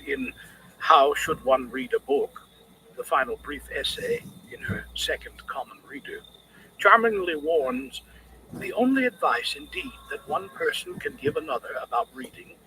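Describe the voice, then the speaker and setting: A man narrates an audiobook with a bright, forceful voice set slightly high. His delivery is measured and literary, with animated intonation that shapes each phrase. A faint layer of noise sits behind the reading. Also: vocal fry, poor audio.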